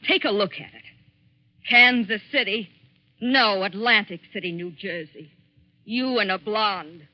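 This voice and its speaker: A woman's voice: medium pitch, a little slow, close to an everyday voice. An actress playing a bitter jilted woman, coolly vengeful, wounded and accusing, in 1940s radio suspense style. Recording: dull lo-fi 1940s radio broadcast.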